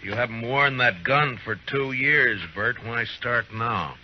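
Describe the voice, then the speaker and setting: An actor playing a stern, steady frontier marshal in an old-time radio western, firm and laconic. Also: male voice, mid-range voice, unhurried, close to an everyday voice. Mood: urgent. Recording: muffled, noisy vintage radio recording.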